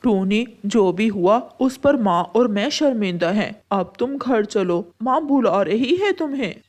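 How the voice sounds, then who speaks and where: A woman's voice: mid-range voice, lively intonation, a little slow, a little mellow. A woman voicing a young male husband bird in a cartoon, with a curt, complaining manner. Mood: subdued.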